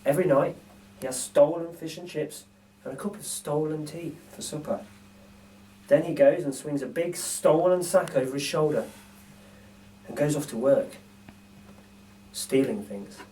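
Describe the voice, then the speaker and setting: A man reading a children's storybook aloud, with deliberate, dramatic phrasing. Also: a little high, mellow, slight background noise. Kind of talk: expressive children's story narration. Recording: distant microphone.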